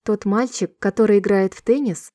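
A woman reading short sentences slowly and clearly for a language-listening drill, repeating each one in a measured, even way. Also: medium pitch, no room sound, studio quality. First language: Russian. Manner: clear, measured, evenly paced repetition. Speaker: Russian sentence reader.

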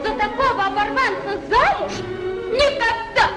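Excitable, haughty female hare: very high, drawn-out, exclaiming delivery for a vintage cartoon. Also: a crowd in the background. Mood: scornful. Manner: theatrical, exclaiming. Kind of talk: 1940s Soviet animated film acting. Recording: old film soundtrack with music.

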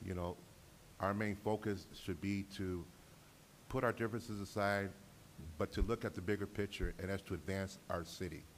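A middle-aged man speaks in a fairly low voice over faint noise and hiss. He gives an earnest, informal speech as a council member, often halting as he goes. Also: steady tone. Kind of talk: informal acceptance speech at a public meeting. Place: large council chamber, indoors. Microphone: gooseneck desk microphone. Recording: noticeable background noise and hiss.

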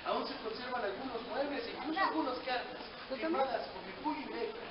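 A man's voice: very high-pitched, fairly quick, a crowd in the background. A man in costume playing a dignified 19th-century Mexican president, telling his life story to children in a solemn, declamatory way, with a Mexican Spanish accent. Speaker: costumed historical reenactor. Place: large echoey room in a historic house. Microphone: far camera, no mic. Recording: distant echoey lo-fi camcorder audio. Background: murmuring children, room ambience.

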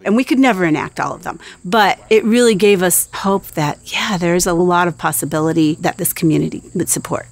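A woman's voice: fairly low pitch, brisk, hardly any pauses. A middle-aged woman speaking conversationally and reflectively as a farm cafe owner in a documentary interview.

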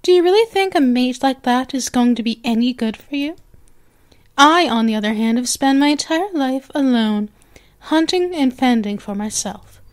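A woman's voice: high voice, expressive, moderate pace. A woman voicing a possessive, eager young lamia girl in an ASMR roleplay, coaxing and hesitant, often restarting her phrases. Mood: jealous, scornful.

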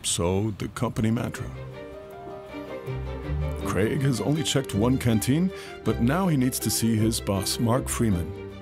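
Lively male documentary narrator over music. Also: mid-range voice. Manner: measured, informative voice-over. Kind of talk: scripted narration. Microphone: studio voice-over. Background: music bed.

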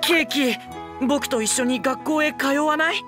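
An actor playing a gentle, eager, boyish young lord, soft-spoken, polite and earnest, in an anime drama. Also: male voice, very high voice, measured pace, over music.

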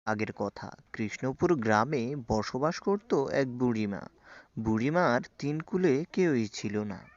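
Slow male storyteller, dull recording. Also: medium pitch, lively intonation, dry recording. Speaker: narrator. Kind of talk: scripted storytelling narration for children's cartoon. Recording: dull lo-fi sound.

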